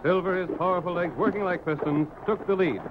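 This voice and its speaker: Male announcer, dramatic old-time radio narration, fairly high voice, band-limited vintage recording with music. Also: a little fast, narrow, tinny audio, background noise.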